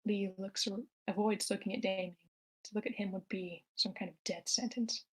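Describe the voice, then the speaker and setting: Casting: female narrator with a medium-pitched voice, reading scripted scene descriptions. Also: steady tone, normal speed, breathy edge, low-quality recording. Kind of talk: reading screenplay stage directions aloud.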